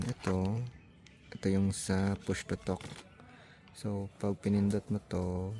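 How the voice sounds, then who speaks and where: A man's voice: low voice, gravelly, mellow, a little hiss or hum. A Filipino man giving a casual hands-on gadget walkthrough, thinking aloud tentatively and mixing in English technical words. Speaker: vlogger.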